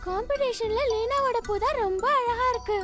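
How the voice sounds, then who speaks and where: A woman's voice: very high voice, normal speed, over music. A woman voicing a boastful, competitive young schoolgirl in a children's cartoon, self-assured and showy. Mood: admiring. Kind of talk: Tamil-dubbed children's cartoon acting.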